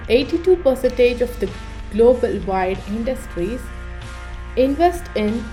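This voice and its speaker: A woman hosts a panel from a prepared introduction. Her voice sits somewhat high, and guitar music plays clearly behind her. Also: a little mellow, poor audio. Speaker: panel host.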